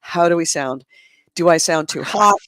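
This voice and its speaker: A woman hosting a podcast in a casual chat, her voice hoarse and gravelly, talking in a chatty, animated way and laughing often. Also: fairly low pitch, moderate pace, dry recording. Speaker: podcast host. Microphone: adjustable microphone close to the mouth.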